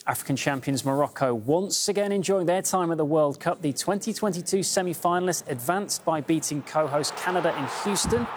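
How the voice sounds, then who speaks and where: A presenter reads a scripted sports news report. The delivery is brisk and informative, with a mid-pitched voice.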